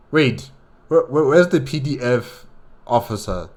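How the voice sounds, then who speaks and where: A man's voice: mid-range voice, expressive, takes it slowly. A man giving casual reaction commentary, thinking aloud with many questions and repeated words. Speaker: reaction video host.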